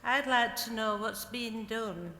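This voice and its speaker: A woman's voice: fairly high pitch, slow pace, pushed and bright. A woman in the audience asking the panel a plain, direct question. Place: conference hall, from the floor. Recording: echoey hall, faint background noise.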